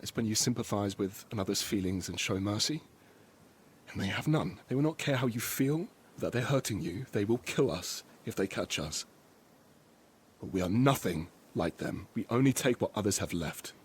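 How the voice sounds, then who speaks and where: A man's voice: on the low side, animated, normal speed, slight background noise, close to an everyday voice. A man playing a grave but tender, protective father at sea, earnest and instructive in a naturalistic audio drama. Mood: grave, warning.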